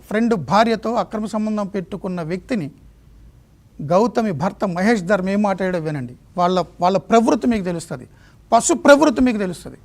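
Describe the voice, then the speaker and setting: A man presenting TV news commentary, speaking emphatically and indignantly, repeating phrases and posing rhetorical questions. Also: high voice. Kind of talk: indignant exposé commentary on a leaked call.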